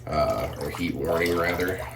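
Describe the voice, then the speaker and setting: Deep-voiced man, sniffly, off-mic. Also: a little slow, far from the mic, noisy background. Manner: relaxed, rambling with many fillers and throat clearing. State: sniffly from irritated sinuses. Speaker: shave product reviewer. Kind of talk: casual product review vlog.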